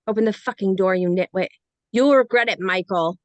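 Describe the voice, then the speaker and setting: A woman's voice: mid-range voice, a little fast, no echo, natural voice. A woman playing a loyal, feisty passenger on a hijacked jet, speaking emotionally in a script read. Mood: angry. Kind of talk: screenplay table read acting.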